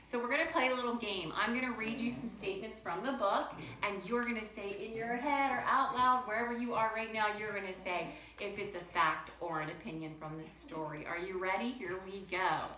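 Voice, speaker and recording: Female primary-style teacher for read-aloud lesson: crisp bright tone, addresses children directly, recorded in a reverberant room. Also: medium pitch, fairly quick, hardly any pauses. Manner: chatty, questioning, addresses young listeners directly. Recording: roomy echo with faint background noise.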